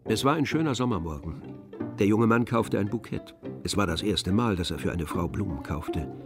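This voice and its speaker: A man narrating a literary radio drama in a measured, composed, knowing manner. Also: fairly low pitch, expressive, music in the background.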